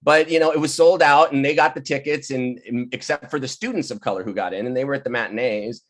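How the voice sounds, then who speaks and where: Man chatting and telling stories, animated. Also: mid-range voice, fast. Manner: animated, laughing often, acting out quoted people. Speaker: playwright and director leading a virtual table read. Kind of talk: casual, anecdotal storytelling chat. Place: online video call. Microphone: video call microphone.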